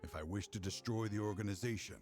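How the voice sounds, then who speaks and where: An actor voicing a grave, weary older law enforcer in a video game, speaking in a solemn, measured, formal way. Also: male voice, on the low side, a little mellow, lightly acted. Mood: grave confession. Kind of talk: dramatic video game acting. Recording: music behind.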